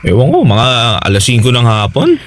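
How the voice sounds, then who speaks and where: An actor playing an easygoing, evasive tricycle-driver husband in a Tagalog radio drama, casual, hesitant and placating. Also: male voice, mid-range voice, huge pitch swings, a little fast. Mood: evasive, apologetic.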